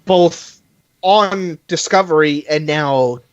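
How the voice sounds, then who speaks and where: A podcast co-host in a casual, animated and argumentative fan discussion. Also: medium pitch, takes it slowly, dry recording, dull audio.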